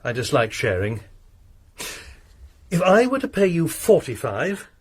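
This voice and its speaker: A stiff, secretive Victorian lodger. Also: male voice, a little high, wildly swooping pitch, measured pace, slightly rough, exaggerated voice. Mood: courteous. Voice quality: clipped, formal, commanding. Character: secretive eccentric gentleman lodger, austere, pious. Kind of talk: radio drama acting.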